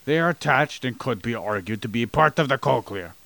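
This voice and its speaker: Gravelly, teasing male podcast host. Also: mid-range voice, expressive, fairly quick, sharp tone, no echo. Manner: teasing, playfully combative. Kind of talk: casual chat about anatomy.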